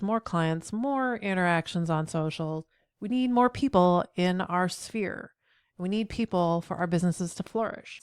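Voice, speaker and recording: Low-voiced middle-aged woman with a cold. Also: darkish tone, smooth, no echo, clean studio sound. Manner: encouraging, speaks straight to the viewer with frequent "right?". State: head cold, a bit nasal. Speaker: accessibility trainer. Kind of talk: tutorial video.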